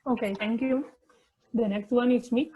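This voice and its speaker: Brisk woman moderating a call. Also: a little high, fairly even intonation, dark tone, breathy edge, highs cut off. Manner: brief, brisk. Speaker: meeting moderator. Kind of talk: moderating introductions.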